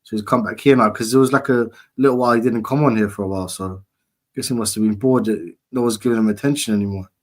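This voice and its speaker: A man's voice: fairly low pitch, quick pace. A man co-hosting a casual stream chat, blunt and argumentative with heavy slang. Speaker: co-host.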